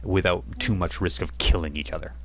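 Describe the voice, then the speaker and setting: A man running a tabletop game as game master, explaining rules and lore in a relaxed, rambling way. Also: low voice, fairly quick, a little hiss or hum. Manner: explanatory, with frequent fillers and restarts.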